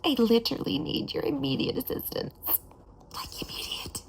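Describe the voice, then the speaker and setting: Woman performing a frantic ditsy female captive, high-pitched, very creaky, breathy, melodramatic pleading, ASMR comedy. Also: fairly even intonation, moderate pace, slight background noise. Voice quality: breathy, half-whispered. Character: panicky, ditsy female abductee pleading on the phone. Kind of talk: whispered ASMR comedy role play.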